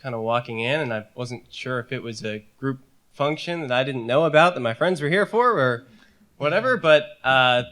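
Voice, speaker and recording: A man's voice: mid-range voice, lively intonation, normal speed, a bit bright. A young man, a student on a panel, telling a story in an earnest, hesitant way.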